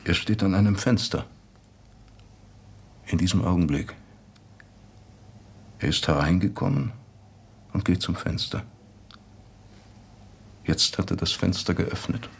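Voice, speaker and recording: An actor in a radio drama playing an evasive, philosophical interviewee who speaks cryptically and measuredly in a husky, gravelly voice. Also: male voice, low-pitched, exaggerated voice. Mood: solemn, intent. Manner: cryptic, measured, answers with questions. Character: evasive, philosophical man interviewed about someone's death.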